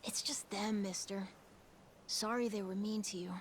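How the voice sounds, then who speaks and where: A woman's voice: mid-range voice, steady tone, normal speed, slight background noise, lightly acted. A woman voicing a plucky, curious island child in a video game, offhand and earnest with a youthful, casual delivery. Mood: casual, friendly. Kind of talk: video game cutscene acting. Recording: music clearly behind the dialogue.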